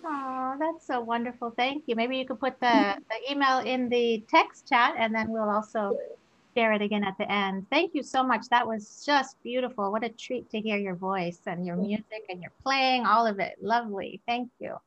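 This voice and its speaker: A woman's voice: fairly high pitch, normal speed, fairly bright tone, dull audio. A woman hosting an online event in a casual, effusive and encouraging way. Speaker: event host. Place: video call.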